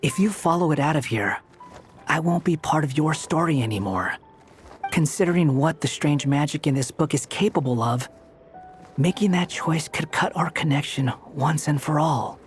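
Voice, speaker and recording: A man's voice: fairly high pitch, animated, normal speed, slight background noise, lightly acted. A man playing a young, brooding, resolute wanderer in a fantasy video game, speaking in an earnest, terse way. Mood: grave, resolute.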